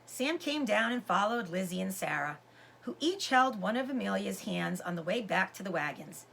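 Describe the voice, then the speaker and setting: A woman reading a children's storybook aloud like a teacher, with deliberate, dramatic phrasing. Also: mid-range voice, expressive, vocal fry, fairly bright tone, poor audio. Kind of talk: expressive children's storybook narration.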